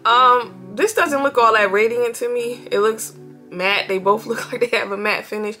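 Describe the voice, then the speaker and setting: A young woman with a bright, clear voice and a slight sniffle from a cold, chatting casually through a makeup demo. She speaks in a relaxed, friendly way straight to the camera from her bedroom, with soft music underneath. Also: fairly high pitch, moderate pace. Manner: relaxed, chatty, addresses viewers as y'all. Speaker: beauty vlogger. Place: bedroom, indoors, seated before a headboard.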